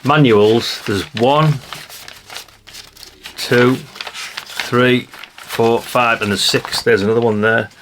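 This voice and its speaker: A man's voice: medium pitch, fairly even intonation, takes it slowly, long pauses, a bit bright, a little hiss or hum. A British man giving a casual hands-on show-and-tell as a vlogger, musing aloud in a rambling way with chatty asides to the viewers. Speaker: vlogger showing a haul of vintage CB radios.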